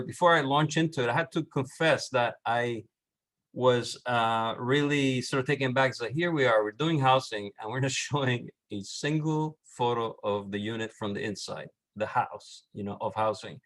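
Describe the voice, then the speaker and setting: A middle-aged man with a Puerto Rican accent, an architect presenting on a panel, halting with many restarts and fillers. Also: medium pitch, fairly even intonation, fairly bright tone. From Puerto Rico.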